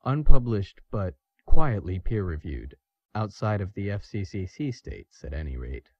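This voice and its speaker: An actor playing a grave, authoritative expert explaining things in an audio drama. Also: male voice, lowish voice, very animated, a little slow, darkish tone, highs cut off, heavily acted. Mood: grave, explanatory.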